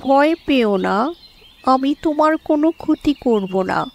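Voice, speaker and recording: Woman as a measured, kindly guardian fairy. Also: high voice, expressive, creaky, a little mellow, heavily acted. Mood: calm, reassuring. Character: kind, solemn guardian fairy of light.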